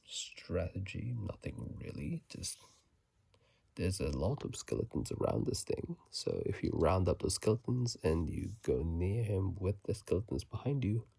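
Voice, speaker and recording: A man giving casual, halting ASMR commentary in a hushed, breathy whisper, sleepy and close to a phone microphone. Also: sits low, big pitch swings, no echo. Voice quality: breathy, gravelly soft whisper. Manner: slow, halting, hushed delivery. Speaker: video host.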